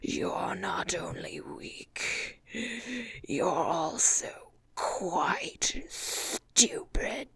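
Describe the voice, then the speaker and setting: Woman whispering as a croaking ancient tycoon. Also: lowish voice, sing-song, bright, forceful tone, dry recording, heavily acted. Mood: contemptuous. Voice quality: slow breathy whisper, croaking. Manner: contemptuous, sneering. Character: ancient withered male tycoon, cruel, scornful.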